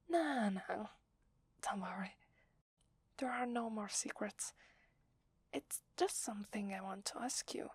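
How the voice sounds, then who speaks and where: A woman with an Italian accent playing a loving, playful werewolf wife in a soft, breathy ASMR roleplay, tender and intimate in her delivery. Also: mid-range voice, dry recording. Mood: teasing, reassuring. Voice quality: breathy, whispery.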